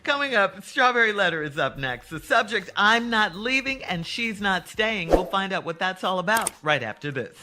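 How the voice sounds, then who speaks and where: A woman's voice: medium pitch, moderate pace, hardly any pauses, no room sound. A woman co-hosting a radio show, announcing upcoming segments and bantering playfully. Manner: animated, playful. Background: faint music.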